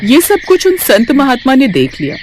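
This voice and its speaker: Lady narrating a kids bedtime story, really animated pitch, faint noise in the background. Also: moderate pace. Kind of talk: scripted children's story narration.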